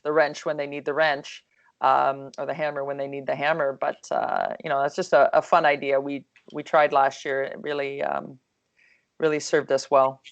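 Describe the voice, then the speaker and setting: A woman hockey coach chatting casually on a video call, with a calm, soothing voice and lots of fillers and restarts. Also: extremely low pitch, moderate pace, vocal fry, lo-fi sound.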